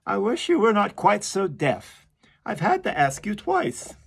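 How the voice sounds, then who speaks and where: A blunt, impatient carpenter barks his words loud and raised, with a husky, gravelly edge, as a man voices him in a recited poem. He speaks in short curt bursts. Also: high voice, huge pitch swings, measured pace, pitched above the performer's own voice, heavily acted.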